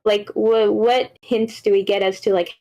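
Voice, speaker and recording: A woman's voice: mid-range voice, steady tone, measured pace, darkish tone. A woman giving casual, rambling script feedback in an informal way, peppering it with "like". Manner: informal, rambling, frequent "like" and swearing. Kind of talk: casual spoken critique.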